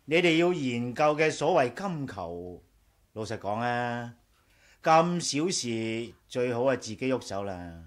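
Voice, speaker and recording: An easygoing, boastful engineer in a 1980s radio drama. His mid-pitched male voice rises and falls in lively swings, with a Hong Kong Cantonese accent. He sounds like a man chatting breezily with old friends. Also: measured pace. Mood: genial.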